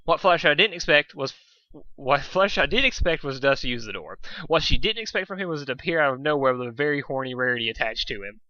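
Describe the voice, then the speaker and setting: A man's voice: a little high, animated, fast talker, bright, forceful tone, no room sound. Guy narrating a fanfic, kinda dull lo-fi mic, dry room, stumbles n corrects himself. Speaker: amateur fan fiction reader. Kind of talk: story narration read aloud.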